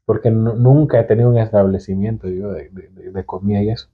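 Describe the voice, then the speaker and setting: A man's voice: on the low side, steady tone, quick pace, breathy edge. A young Salvadoran man with a Salvadoran accent, chatting casually as a podcast guest with hesitant restarts. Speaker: guest entrepreneur. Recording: tinny phone-like sound.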